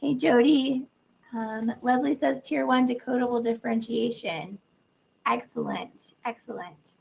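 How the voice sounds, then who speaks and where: A woman's voice: on the high side, measured pace, darkish tone, low-quality recording. A woman hosting a live teaching webinar, greeting attendees by name in an upbeat, casual way while she is losing her voice from a sore throat and cold. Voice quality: gravelly, husky. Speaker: webinar host, literacy educator. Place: indoor room.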